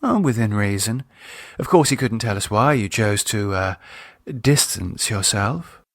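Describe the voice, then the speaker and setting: A man voicing a composed, teasing woman with a sharp edge and a polite, ironic manner in an audiobook. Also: on the low side, animated, measured pace, no echo, clean studio sound. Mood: cool, measured. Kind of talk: audiobook character dialogue.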